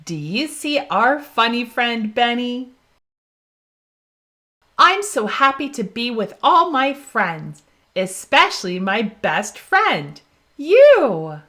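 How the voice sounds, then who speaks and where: A woman's voice: a little high, big pitch swings, takes it slowly, pushed and bright, heavily acted. A woman reading aloud as a cheerful young girl explorer in a children's storybook, asking listeners questions and praising them. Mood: cheerful, excited. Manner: asks the listener questions, then praises the answer.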